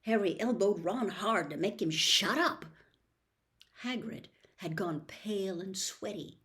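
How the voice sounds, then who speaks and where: A woman reading a children's novel aloud as an expressive storybook narrator. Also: mid-range voice, a little slow. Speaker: storybook reader.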